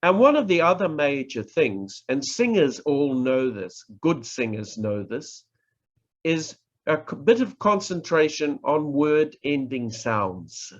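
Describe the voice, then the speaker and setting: A middle-aged man with a New Zealand accent, teaching in a deliberate, emphatic way with pauses and repetition over a video call. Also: a little high, very animated. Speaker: professor teaching public speaking. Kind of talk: instructional online lecture. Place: indoors, online presentation. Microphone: video call microphone. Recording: muffled lo-fi video-call audio.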